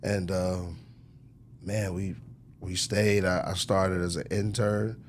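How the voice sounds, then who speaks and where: Low-voiced American man, slangy storyteller. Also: level tone, takes it slowly, a little hiss or hum. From United States, Chicago. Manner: conversational, slangy, frequent throat clearing. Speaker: interview guest, record executive. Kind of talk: casual interview storytelling.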